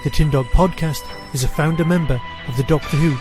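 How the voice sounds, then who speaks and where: A man's voice: on the high side, steady tone, fairly quick, over music. A man hosting a podcast, giving a semi-scripted review straight to the listener.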